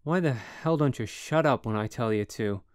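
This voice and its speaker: A man voicing a big, bossy young roommate who shouts threats in a heated temper. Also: medium pitch, moderate pace, no room sound, clean studio sound, close to an everyday voice. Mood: nervous gruff. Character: hulking angry young male roommate, bossy.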